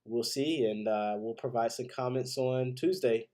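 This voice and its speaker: A man's voice: medium pitch, unhurried, darkish tone. A man with a congested, head-cold voice hosting a solo sports podcast, giving opinionated commentary in a conversational way. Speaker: podcast host. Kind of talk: solo football commentary and predictions.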